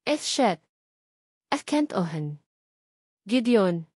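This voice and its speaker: A woman's voice: medium pitch, big pitch swings, very slow, a little mellow, husky, no room sound, clean studio sound, heavily acted. A woman voicing an overwhelmed, pleading young heroine of a narrated romance story, in exclamations.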